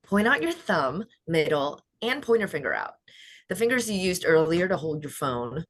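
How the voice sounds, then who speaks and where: Woman playing a husky, vengeful beauty queen. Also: on the low side, big pitch swings, moderate pace. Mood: coldly threatening. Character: vengeful, menacing beauty queen interrogating her captive. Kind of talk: screenplay table read acting.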